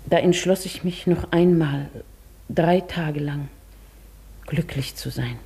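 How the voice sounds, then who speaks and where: Brüchige, stolze, arme alte Witwe. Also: female voice, low voice, slow pace, mellow, full character voice. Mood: wistful confession. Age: older. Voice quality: husky, creaky. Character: poor, proud, wistful old widow. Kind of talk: German radio drama acting.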